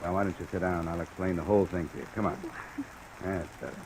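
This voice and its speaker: An actor playing a wry, wisecracking American insurance investigator in a 1950s radio detective drama. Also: male voice, low-pitched, steady tone, quick pace, a little mellow. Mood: patient, insistent. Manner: dry, wisecracking. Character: wry, brisk male insurance investigator narrating his case. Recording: noisy old radio transcription.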